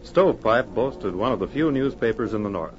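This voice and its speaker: A man's voice: mid-range voice, animated, brisk. A man narrating an old radio adventure serial in a formal, dramatic storytelling style. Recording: muffled old radio recording with music.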